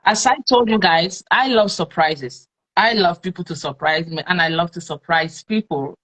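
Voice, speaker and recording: A woman's voice: lowish voice, moderate pace, a bit bright, low-quality recording. A Nigerian woman hosting a live-streamed talk show, chatting with followers and leaning on plenty of fillers. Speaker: host.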